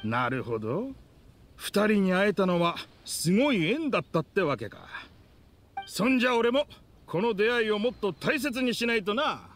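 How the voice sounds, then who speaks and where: A man playing an easygoing, loyal gang henchman in an anime game, with rough, frank, casual speech. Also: high-pitched, sing-song, moderate pace, a bit bright, a little hiss or hum. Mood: amiable. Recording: clear background music behind voice.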